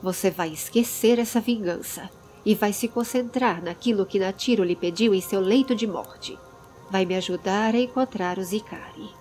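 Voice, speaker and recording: A woman's voice: mid-range voice, moderate pace, darkish tone, soft background music, lightly acted. Woman as stern, prudent Brazilian fantasy mentor. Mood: firm, commanding. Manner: commanding, earnest. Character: firm, stern, prudent man guiding a younger companion.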